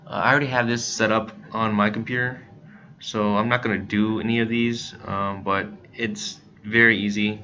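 A man presenting a software tutorial in a casual, step-by-step narration style. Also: on the low side. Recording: dull lo-fi mic, background noise.

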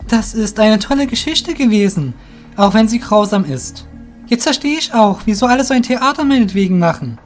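A man's voice: sits high, expressive, moderate pace, soft background music, pitched above the performer's own voice. A man voicing a young apprentice boy, curious and trusting, in an audio drama. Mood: relieved. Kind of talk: hobby audio drama character acting.

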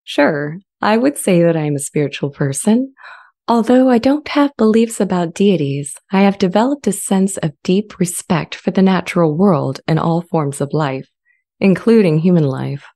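A woman playing a gentle, thoughtful sentient AI in a dramatized reading, with a calm, measured and reflective delivery. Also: fairly low pitch. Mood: serene, thoughtful. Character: sentient female-voiced AI, gentle, serene and thoughtful. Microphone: large studio condenser mic up close.

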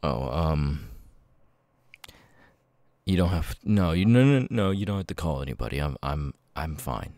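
A man acts out a nervous, socially awkward young man for an ASMR audio roleplay. His voice is extremely deep and slow, breaking into stammers and tics. The sound is dry, with no room around it. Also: animated, darkish tone, no room sound, full character voice. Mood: nervous, apologetic. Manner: halting, stammering, sudden blurted tics.

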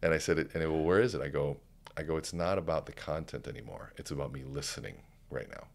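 A middle-aged man, a guest speaker coach on a podcast, talking in a practiced, calm baritone with deliberate pauses, recorded on a studio microphone. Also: creaky. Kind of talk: conversational podcast interview. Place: podcast studio with curtained backdrop. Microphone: studio microphone on a stand, headphones on.

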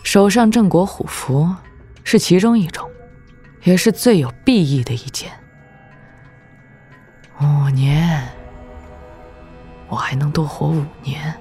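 Imperious low-voiced female demon lord. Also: takes it slowly, long pauses, warm tone, soft background music. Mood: grave, cool. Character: proud, formidable female martial-arts demon lord, coolly domineering. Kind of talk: Chinese martial-arts audio drama acting.

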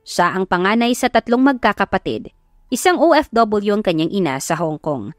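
A woman's voice: mid-range voice, no room sound, studio quality. A woman with a Filipino accent reading a scripted love story as an audiobook narrator. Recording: background music under the voice. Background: music.

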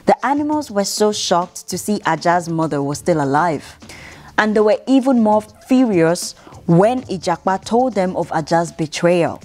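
A young woman from Nigeria reads a children's bedtime story in a measured, expressive way, with dramatic pauses. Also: fairly low pitch. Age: young adult. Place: indoor room, seated by a piano. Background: music.

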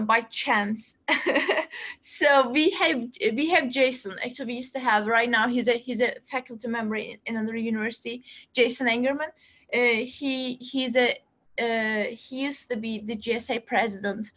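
Brief: young woman, high bright voice, halting webinar host with lots of restarts, laptop mic at home. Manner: halting, many fillers and restarts, laughs often. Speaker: student association president. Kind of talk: casual webinar talk. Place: small room at home, indoors. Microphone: laptop webcam, video call.

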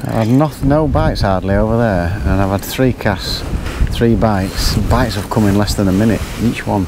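Chatty angler in wind. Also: male voice, lowish voice, normal speed. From England. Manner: chatty, addresses viewers as folks. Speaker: angler presenting a fishing match. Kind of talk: casual live commentary. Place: outdoors by a lake in wind. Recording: wind noise on outdoor mic.

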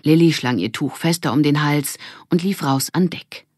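A woman's voice: deep voice, normal speed, husky, dry recording. Woman narrating a children's story, dry recording. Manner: expressive storytelling. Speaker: audiobook narrator.

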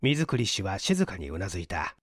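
A man's voice: low voice, big pitch swings, moderate pace, no echo, studio quality. A man reading scripted literary narration as an audiobook narrator.

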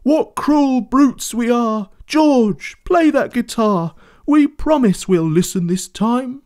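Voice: medium pitch, lively intonation, takes it slowly, darkish tone, pitched above the performer's own voice. A storyteller voicing a group of ashamed farm animals, pleading and remorseful.

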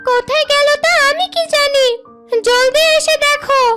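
A woman's voice: very high-pitched, fairly even intonation, moderate pace, over music. A woman voicing an anxious, bustling young fox wife in a children's cartoon, calling out urgently. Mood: alarmed, urgent. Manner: exclaiming, urgent calls to her husband.

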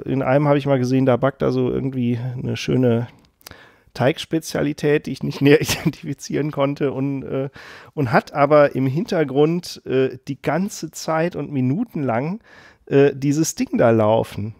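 A man's voice: medium pitch, normal speed, creaky, a little mellow, dry recording, clean studio sound. A man hosting a casual podcast, rambling through a story with many fillers and frequent laughs. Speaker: podcast host.

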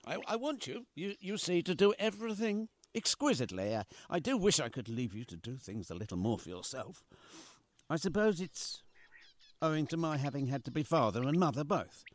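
A man's voice: on the high side, very animated, brisk. A doting middle-aged father acted by a man in a radio play. His voice rises and falls widely as he fusses and exclaims, whimsical, fond and a little anxious. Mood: earnest, tender.

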